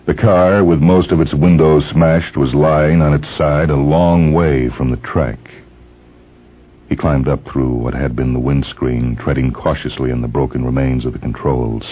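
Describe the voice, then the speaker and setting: A man's voice: extremely low pitch, husky. A man narrating a scripted dramatic story. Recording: muffled phone-like band, faint music behind.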